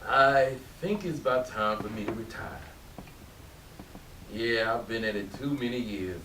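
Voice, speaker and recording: A man's voice: lowish voice, unhurried, pushed and bright. An actor playing a weary, grumbling, hard-drinking older working man in a staged play. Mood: weary, chatty. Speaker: actor in a readers theatre play. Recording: hissy, echoey stage pickup from far off.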